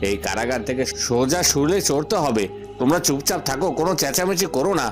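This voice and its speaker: A man voicing a gruff, scolding prison guard who questions people in a cartoon. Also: medium pitch, lively intonation, fast talker, close to an everyday voice. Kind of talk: cartoon dialogue. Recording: music behind the voice.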